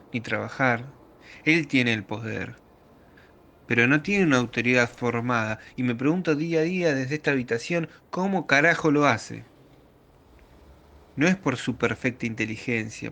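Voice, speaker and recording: A man's voice: medium pitch, pushed and bright. Man acting trapped, despairing Argentine man, noisy recording. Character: trapped, despairing man warning over a communicator, resentful of power. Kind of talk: radio theatre monologue.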